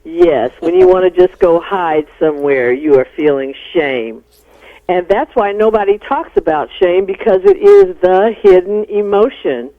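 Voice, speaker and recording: A woman's voice: fairly low pitch, big pitch swings, measured pace, vocal fry. A middle-aged American woman explaining things as a psychologist guest in a radio interview. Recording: narrow-band phone-like radio sound.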